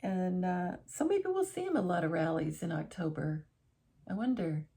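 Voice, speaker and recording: A woman's voice: fairly low pitch, lively intonation, normal speed, vocal fry, dark tone. A middle-aged woman vlogging from her living room armchair, chatting casually to camera in a rambling way, often restarting and correcting herself. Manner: rambling, self-correcting, conversational.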